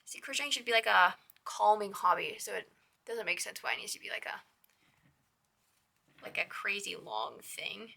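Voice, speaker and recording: A young woman with a hoarse, raspy voice hosts a casual crochet tutorial. She chats with a lot of "like" and counts her stitches in a soft whisper. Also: mid-range voice, animated, moderate pace, pauses often. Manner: chatty, with lots of "like".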